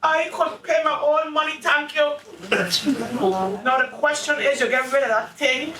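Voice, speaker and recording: A distant, echoey stage recording of a woman acting as a scolding old Caribbean grandmother. She is blunt and dramatic, with a high, piercing voice and a stubborn temper. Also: little pitch movement, normal speed, crowd noise, natural voice. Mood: scornful, scolding. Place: indoor stage, mic far from actors.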